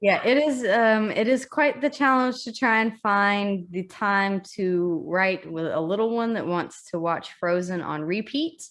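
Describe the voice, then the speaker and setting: A woman's voice: mid-range voice, measured pace, hardly any pauses, fairly bright tone. A woman hosting an author interview, upbeat and stumbling over repeated words. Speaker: interview host.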